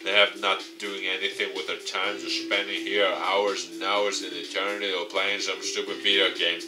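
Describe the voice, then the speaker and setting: A man's voice: deep voice, little pitch movement, moderate pace, recorded from a distance, over music, close to an everyday voice. A man voicing a bored, disdainful middle-aged woman working a front desk, grumbling with scorn. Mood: scornful.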